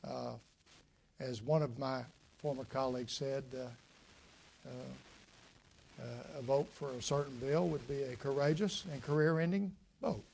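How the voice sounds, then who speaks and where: A man, speaking at a public talk and answering audience questions in a folksy, reflective way with many pauses. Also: mid-range voice, creaky, darkish tone, slight background noise, poor audio. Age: 60s. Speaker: guest speaker, former state legislator. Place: lecture room with audience.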